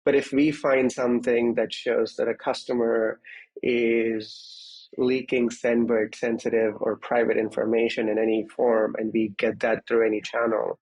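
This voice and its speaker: A man's voice: mid-range voice, level tone, measured pace, darkish tone, poor audio. A man speaking as an interview guest, explaining technical matters conversationally in structured, step-by-step points. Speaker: interview guest, security executive.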